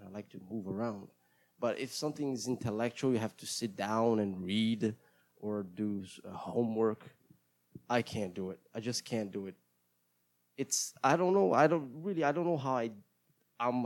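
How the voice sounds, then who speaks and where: A Brazilian man with Portuguese as his first language, hosting a casual solo show in accented English, rambling in a halting, self-deprecating way and often repeating words. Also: medium pitch.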